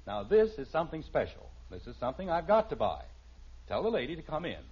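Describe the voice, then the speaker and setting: A man's voice: a little high, sing-song, fast. An actor with an American accent playing an exasperated, blustering middle-aged suburban father in a 1950s radio sitcom. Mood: urgent. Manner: blustering, flustered. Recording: dull, noisy old radio recording.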